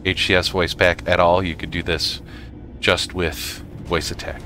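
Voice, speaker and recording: A man narrating a casual software tutorial for gamers in a halting way, often pausing mid-sentence. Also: low-pitched, level tone, slight background noise. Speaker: gamer making a tutorial video.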